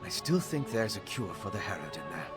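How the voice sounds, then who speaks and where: A man's voice: deep voice, big pitch swings, brisk. An actor playing a wry, self-mocking male vampire scholar in a video game, with background music. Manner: wry, self-deprecating, musing.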